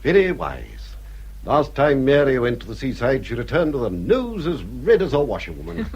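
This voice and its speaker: An English actor playing a vain, snobbish old father in a period radio drama, husky, pompous and theatrical. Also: mid-range voice, huge pitch swings, moderate pace, a little mellow, highs cut off, exaggerated voice. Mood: self-satisfied. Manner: pompous, self-satisfied, theatrical.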